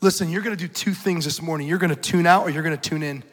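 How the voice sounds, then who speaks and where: A man's voice: a little high, fast. A man in his thirties preaching an urgent, emphatic sermon in a large church hall. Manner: urgent, emphatic, rhetorical repetition. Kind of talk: passionate sermon. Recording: reverberant hall.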